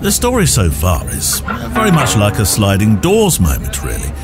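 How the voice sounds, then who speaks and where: A man's voice: on the low side, wildly swooping pitch, moderate pace, loud music behind the voice, over a noisy crowd. A man from Hertfordshire with a southern English accent, hosting a podcast with conversational questions and narration. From Hertfordshire, England. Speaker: podcast host.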